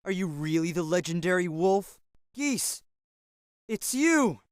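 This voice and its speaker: A cocky, brooding young male fighter from a fighting game. His short lines are delivered slowly and dramatically, with big swings in pitch. The recording is close and dry. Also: sing-song, clean tone, no room sound, full character voice. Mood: brooding, determined. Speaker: video game voice actor. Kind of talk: fighting game character voice clips. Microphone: close dry studio recording.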